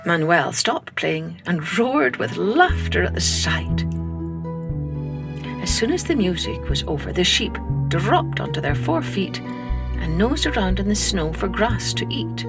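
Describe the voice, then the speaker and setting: Slow, gentle woman storyteller over music. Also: lowish voice, creaky. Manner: expressive, unhurried read-aloud with soft whispered asides. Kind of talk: children's storytelling narration. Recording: music playing under the voice.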